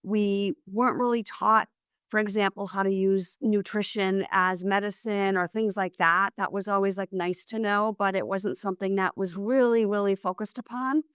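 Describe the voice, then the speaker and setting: A middle-aged woman with a hoarse voice from a cold, talking casually as an interview guest, often restarting her sentences. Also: medium pitch, fairly even intonation, normal speed, fairly bright tone, no room sound, clean studio sound. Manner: conversational, with frequent restarts and fillers. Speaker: guest, psychiatric nurse practitioner.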